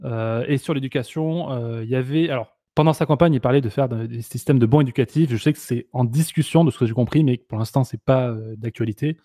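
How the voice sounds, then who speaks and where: A man guides a casual political discussion and keeps checking his notes. His voice is mellow and he stops often to hunt for words. The sound is dry and close. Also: mid-range voice, brisk, hardly any pauses, dry recording. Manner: hesitant, reads from notes, many fillers. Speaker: show host.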